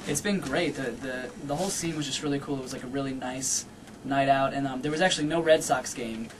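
A man's voice: medium pitch, fairly quick, far from the mic, background noise. A man in his thirties, a band member being interviewed at a radio studio, talking eagerly and rambling with many fillers. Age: 30s. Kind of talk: casual interview talk.

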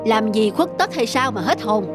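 A man voicing a proud, jealous husband in an animated drama, emotional and blunt. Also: high-pitched, moderate pace. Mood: suspicious, sarcastic. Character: proud, jealous husband working as delivery driver. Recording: music behind the voice.

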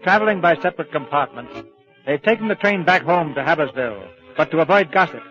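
An actor serving as the wry, polished narrator of a 1940s radio play. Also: male voice, fairly high pitch, expressive, quick pace, soft background music, natural voice. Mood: wry, storytelling. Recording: muffled old radio recording.

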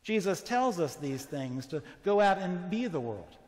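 A man's voice: high voice, big pitch swings, a little slow, a little mellow. A middle-aged man, a priest, giving a conversational sermon while standing and gesturing in a large church sanctuary, picked up on a lapel mic. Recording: church reverb from a fixed camera.

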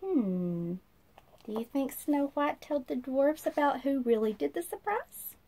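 A woman in her thirties reading a children's storybook aloud in an expressive, sing-song manner, as if to young listeners. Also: sits high, measured pace. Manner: sing-song, animated, asks listeners questions. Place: small indoor room.

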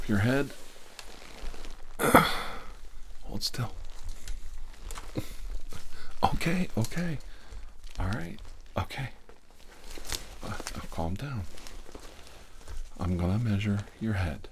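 A man doing an ASMR roleplay as a calm, firm doctor, speaking deliberately and often dropping toward a whisper. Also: on the low side. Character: calm, firm male doctor questioning a possessed patient. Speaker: ASMR performer playing a doctor. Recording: clear background noise.

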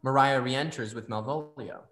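A man's voice: medium pitch, moderate pace, fairly bright tone. A man reading stage directions in scripted narration for a play. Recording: echoey, noisy, distant call audio.

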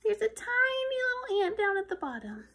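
Lady in her 30s doing a kids read-aloud, really high voice, sing-song and swoopy. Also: brisk, vocal fry, slight background noise. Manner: expressive, sing-song, talking to children. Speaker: teacher reading a picture book aloud. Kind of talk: read-aloud for young children. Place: living room on a couch, indoors.

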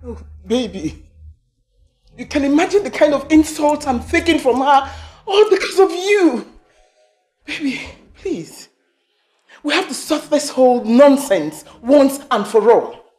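A possessive middle-aged mother in a screen melodrama. Her voice is high and swings widely as she commands, repeats herself and lays on guilt. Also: sing-song, a little slow, a little mellow. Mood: urgent, coaxing. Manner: commanding, repetitive, guilt-tripping. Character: possessive, domineering mother of a grown son. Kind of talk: melodramatic screen drama dialogue.

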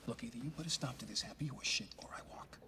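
A man's voice: mid-range voice, very fast, gravelly, sharp tone, whispered. An actor playing a brash, exasperated middle-aged male defense lawyer in a film drama, blunt and sarcastic. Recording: noisy film clip played back.

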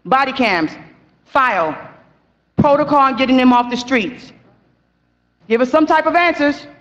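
A woman's voice: high voice, animated, a little slow, a bit bright. A young woman speaking at a podium in a council chamber, pressing urgent, repeated questions. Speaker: victim's sister at council meeting. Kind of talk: public comment speech. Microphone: podium mic. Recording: dull meeting audio with long hall reverb.

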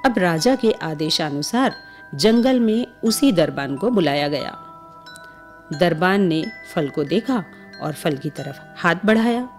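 A woman's voice: on the low side, expressive, slow pace. A woman narrating a scripted bedtime story for children as the storyteller. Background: music with chimes.

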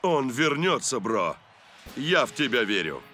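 A man voicing a calm, supportive football team captain in an animated cartoon, reassuring and brotherly. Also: medium pitch, unhurried, soft background music.

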